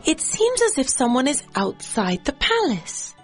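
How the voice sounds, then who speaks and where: A woman playing an earnest young princess in a children's cartoon fairy tale, with a breathy, dramatic delivery. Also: high voice, huge pitch swings, a little slow, music in the background, heavily acted. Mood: anxious.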